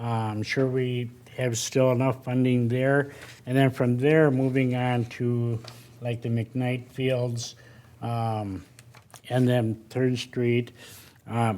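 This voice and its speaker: An older man, a parks commissioner, giving his opinion in a public meeting, plain-spoken and pausing with fillers, at a desk microphone in a council chamber. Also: mid-range voice, darkish tone.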